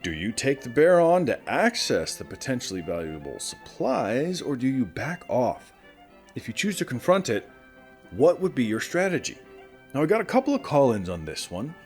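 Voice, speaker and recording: A man's voice: medium pitch, huge pitch swings, normal speed, soft background music. A man playing a chatty small-town morning radio host in a post-apocalyptic audio drama, talking straight to his listeners in a folksy way. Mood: upbeat, chatty. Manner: conversational, addresses listeners directly. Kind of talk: scripted radio show monologue, folksy.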